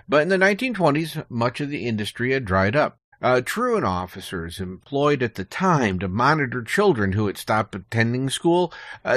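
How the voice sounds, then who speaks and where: A man's voice: medium pitch, fairly bright tone, no room sound, clean studio sound. An American man speaking as a podcast guest, telling prepared, informative stories in a conversational way.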